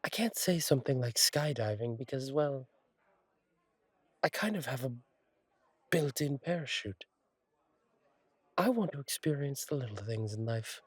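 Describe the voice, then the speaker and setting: A man playing a friendly, courteous angel bartender in an intimate roleplay audio, speaking gently with thoughtful pauses. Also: medium pitch, animated, husky, dry recording. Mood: musing, curious. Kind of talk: intimate roleplay audio acting, one side of a conversation.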